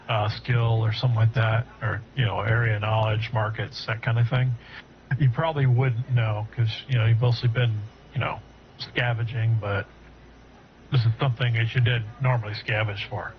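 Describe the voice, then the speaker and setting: Male game master, mid pitch, stop-start narration, dull lo-fi sound. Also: level tone, a little hiss or hum. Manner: halting, with many fillers and restarts. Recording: dull lo-fi audio.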